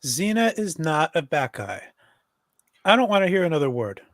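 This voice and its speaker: A man's voice: on the high side, very animated, normal speed, a bit bright. A man playing an exasperated, loyal young woman sidekick, impatient and scolding, in a live script read.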